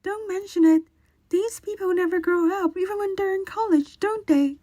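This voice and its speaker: A bold, sharp-tongued little college lad acted by a woman for a radio play. The voice is extremely high and mellow, with a very dry sound. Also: moderate pace, dry recording. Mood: breezy, friendly. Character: small, bold, sharp-tongued young male college senior. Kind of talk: amateur radio drama acting.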